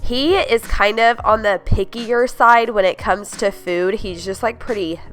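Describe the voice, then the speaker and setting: A young woman talks through her weekly dinners, her voice thick and nasal from a stuffed-up nose. She is chatty and enthusiastic, peppering her lines with "like" and "you guys", at an everyday mid pitch. Faint music plays quietly behind her. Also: a little slow. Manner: upbeat, gushing, many "like"s and "you guys". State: congested, stuffed-up voice. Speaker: home cooking vlogger. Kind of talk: casual chatty recipe voice-over.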